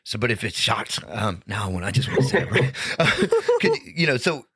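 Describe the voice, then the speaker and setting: Bright-voiced male podcast host, rambling. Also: lowish voice, big pitch swings, normal speed, husky, no echo, studio quality. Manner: rambling, lots of fillers and restarts. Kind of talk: casual interview chat.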